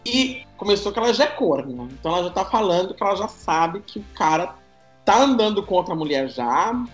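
A man's voice: high voice, roomy sound. A man leading a podcast episode in a joking, teasing way. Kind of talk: casual podcast chat.

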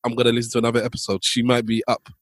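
A man's voice: medium pitch, monotone, very fast, fairly bright tone, no echo, clean studio sound. A man co-hosting a podcast in casual chat, loose and joking, often repeating himself. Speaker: podcast co-host.

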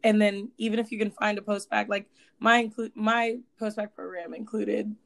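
A woman's voice: medium pitch, flat delivery, normal speed, gravelly. A young woman co-hosting a podcast, upbeat and encouraging in casual chat. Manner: upbeat, encouraging, says "solid". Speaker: podcast co-host.

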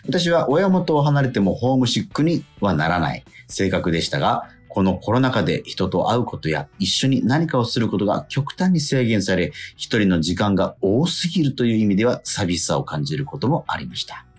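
Low, husky, animated male radio host. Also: a little fast, few pauses. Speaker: radio show host and actor. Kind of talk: casual radio chat and listener-letter reading. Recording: music playing faintly behind. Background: background music.

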